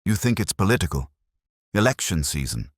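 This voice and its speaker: A man's voice: sits low, very animated, fairly quick, breathy edge, no room sound, studio quality, voice pushed up, heavily acted. A man voicing an eager, deferential police sergeant, a puzzled sidekick who asks questions in a detective story.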